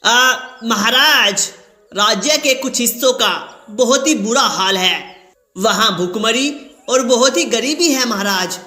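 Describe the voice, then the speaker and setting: A man's voice: very high voice, slow pace, pushed and bright, roomy sound, voice pushed up, heavily acted. A man voicing a cautious royal minister in a cartoon, hesitant, respectful and eager to defer. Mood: obedient, respectful.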